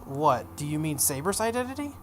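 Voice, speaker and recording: A man's voice: fairly high pitch, big pitch swings, fairly quick, a little mellow. A man voicing an earnest, uncertain teenage boy in a visual novel, plain-spoken and questioning, over some noise. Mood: curious, earnest. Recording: noticeable background noise.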